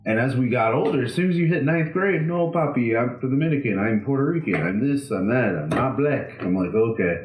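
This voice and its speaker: A man's voice: medium pitch, expressive, brisk, hardly any pauses, echoey room, rough recording. A young man from Florida co-hosting a comedy podcast, blunt and profane, laughing often. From Florida, USA. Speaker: podcast co-host. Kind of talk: casual comedy podcast banter.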